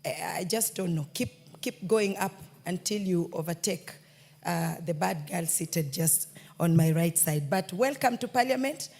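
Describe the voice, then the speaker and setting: A woman's voice: lowish voice, a little slow, a little mellow, slightly rough. A woman, a member of parliament, welcoming students in a playful, teasing way. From Kenya. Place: parliament chamber. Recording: long reverb of a large chamber.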